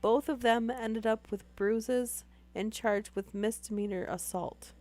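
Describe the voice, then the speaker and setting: A woman's voice: on the high side, steady tone, a little slow, darkish tone. Woman streamer losing her voice. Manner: chatty, rambling, many fillers. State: losing her voice, sore throat. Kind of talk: casual chat with live viewers.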